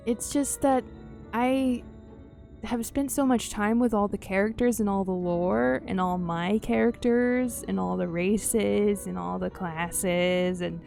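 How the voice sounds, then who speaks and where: A woman's voice: mid-range voice, gravelly, warm tone. A woman streaming a game, chatting casually and informally, musing aloud and often letting sentences trail off. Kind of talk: casual livestream chat while playing a game. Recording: game music behind the voice.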